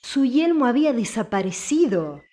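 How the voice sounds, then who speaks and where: A woman's voice: on the high side, big pitch swings, moderate pace, mellow. A woman reading scripted story narration as an audiobook narrator.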